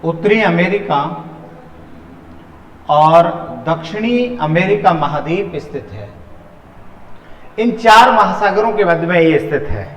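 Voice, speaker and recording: A man's voice: sits high, very animated, slow, long pauses. A man teaching in an exam-prep lecture style, repeating phrases and asking questions that he answers himself. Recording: echoey hall-like room reverb.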